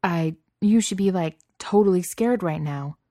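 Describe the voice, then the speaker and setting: A woman's voice: fairly low pitch, measured pace, warm tone, no echo, studio quality, natural voice. A woman voicing a huge but timid, apologetic young male beast in a story, hesitant and stammering, with teenage slang. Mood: nervous bluffing. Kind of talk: audiobook character voice.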